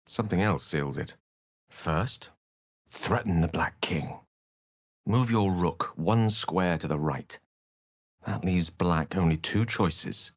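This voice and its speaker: A learned, courteous game wizard, speaking in a lowish register with a very mobile melody. The phrasing is courtly and eloquent, edged with wry wit. The sound is dry and close. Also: male voice, no echo. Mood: watchful, then calm, reflective. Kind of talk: video game character voice lines.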